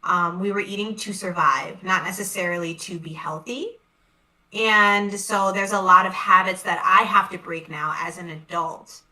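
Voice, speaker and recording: A woman shares personal thoughts in a relaxed chat, her voice bright and forward. She sits slightly back from the microphone, so the sound is a bit distant. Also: lowish voice. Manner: reflective, quick bursts. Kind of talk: casual chat.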